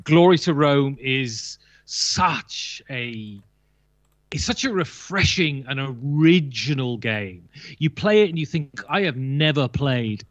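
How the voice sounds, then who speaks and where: A man's voice: mid-range voice, lively intonation, takes it slowly, dry recording. A man chatting casually and emphatically about board games as a guest, sometimes jokey, on slightly muffled audio. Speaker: guest on a board game list show. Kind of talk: casual opinionated chat.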